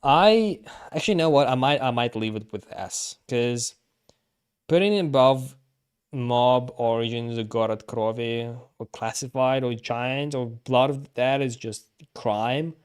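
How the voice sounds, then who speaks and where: A man giving casual live stream commentary, thinking aloud haltingly and repeating himself. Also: mid-range voice, clean tone.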